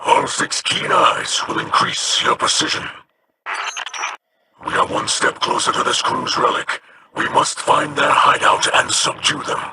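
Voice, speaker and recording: A man voicing a stern, weathered alien captain in a video game, speaking formally and deliberately with a gravelly voice. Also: on the high side, monotone, heavy background noise, close to an everyday voice. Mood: grave, urgent. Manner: slow, formal, deliberate speech. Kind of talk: video game character acting.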